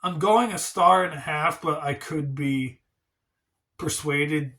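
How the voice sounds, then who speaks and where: A man's voice: on the high side, slow, sharp tone. A man hosting a casual wrestling review vlog, talking in a rambling, hedging way, congested and stuffy from a cold. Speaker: wrestling channel host.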